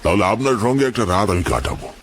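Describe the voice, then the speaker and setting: A man acting a deep-voiced, scoffing village skeptic. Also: animated, fast talker, a little hiss or hum, lightly acted. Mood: scoffing, defiant. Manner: scoffing, challenging. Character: Jagannath, boastful mocking village man, a skeptic of ghosts. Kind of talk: dramatized Bengali horror story.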